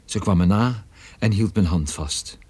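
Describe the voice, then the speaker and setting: An actor playing a private detective who recounts his adventure in measured, reflective first-person radio play narration. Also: low-pitched, a little mellow, slightly rough, dry recording. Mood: brooding, tense.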